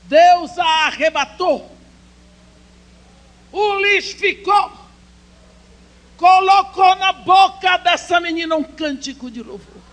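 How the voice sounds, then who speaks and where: A woman's voice: extremely high pitch, takes it slowly, long pauses. Impassioned woman preaching a sermon. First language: English. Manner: impassioned, swinging between loud proclaiming and hushed storytelling. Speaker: women's conference speaker. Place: church.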